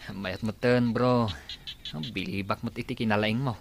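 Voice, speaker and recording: Actor for an easygoing co-worker who jokes around; mid-pitched voice. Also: male voice, fairly even intonation, normal speed, gravelly, slight background noise. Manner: easygoing, joking. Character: friendly male co-worker abroad. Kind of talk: amateur radio drama acting.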